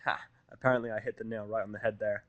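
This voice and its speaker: A man's voice: fairly low pitch, little pitch movement, fast, no echo, muffled recording. A man narrating a scripted first-person story as an audio fiction reader.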